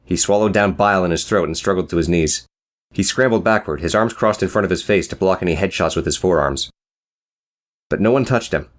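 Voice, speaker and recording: Low-pitched, quick male audiobook narrator. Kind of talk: scripted dramatic story narration.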